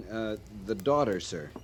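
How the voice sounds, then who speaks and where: An actor playing an awkward, polite young cavalry lieutenant in a radio western, speaking hesitantly and earnestly. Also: male voice, fairly low pitch, animated, slow, creaky, exaggerated voice. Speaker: junior cavalry officer. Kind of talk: old-time radio western acting. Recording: noisy old radio recording.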